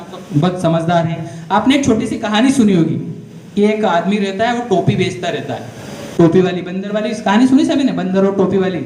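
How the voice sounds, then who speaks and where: A man's voice: high-pitched, a little mellow. A man giving a motivational career guidance talk to school students, often asking the class questions. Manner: addresses the audience with frequent direct questions; storytelling, explains step by step. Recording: echoey room, faint background noise.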